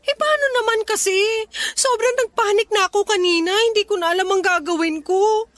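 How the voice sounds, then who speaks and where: An actress playing a panicked, emotional young daughter who pleads anxiously in a radio drama. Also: female voice, very high voice, brisk, a bit bright, no echo. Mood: shaken, tearful.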